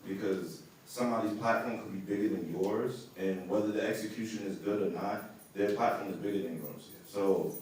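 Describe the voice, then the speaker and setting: A man with a deep voice asking a panel a question in a casual, earnest way at a live event. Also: moderate pace, darkish tone, slight background noise. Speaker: audience member asking a question. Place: large indoor event space. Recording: echoey hall from far off.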